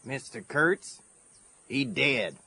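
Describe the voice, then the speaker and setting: Very raspy, mocking scavenger. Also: male voice, mid-range voice, animated, extremely slow, sharp tone, full character voice. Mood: dry mockery. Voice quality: husky rasp. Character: eerie, dryly mocking talking scavenger animal. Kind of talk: radio horror drama acting.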